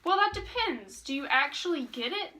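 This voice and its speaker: Woman as a bossy, bright-voiced math wizard. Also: very high-pitched, animated, full character voice. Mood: skeptical. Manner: brisk, instructive, impatient. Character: bossy, bargaining math wizard who teaches. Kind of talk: student puppet show.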